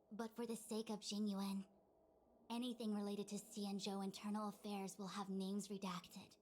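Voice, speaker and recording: A woman playing a composed, dutiful master diviner in a video game, speaking in a formal, measured and precise way. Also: medium pitch, a little mellow, slight background noise, lightly acted. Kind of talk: scripted video game dialogue.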